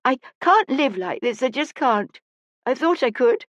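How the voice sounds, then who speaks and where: A woman's voice: fairly high pitch, big pitch swings, measured pace, slightly rough, dry recording, pitched above the performer's own voice, heavily acted. A woman voicing a frightened, polite young lad in an audiobook, with a small, shaky, pleading voice. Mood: despairing.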